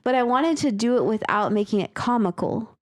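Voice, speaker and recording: A woman's voice: fairly high pitch, lively intonation, fairly quick, no echo. Casual woman with a dry, close sound. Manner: candid, sweary. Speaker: podcast co-host and writer. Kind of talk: casual story discussion.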